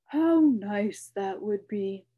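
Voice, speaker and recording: A woman's voice: medium pitch, animated, very slow, phone-quality sound, a little hiss or hum. A woman playing a blustering regal old king. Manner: commanding, loud, regal.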